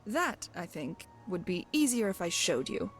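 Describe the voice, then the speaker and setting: A woman's voice: on the low side, sing-song, normal speed, breathy edge, exaggerated voice. Actress as female rogue companion for fantasy game: brash, wry, cocky, theatrical delivery, music underneath. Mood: grim storytelling. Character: brash, wry female thief, undying adventurer. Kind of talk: voiced game dialogue.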